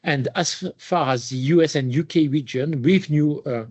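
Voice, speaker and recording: A man giving a formal, measured scripted business presentation as a chief executive. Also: a little high.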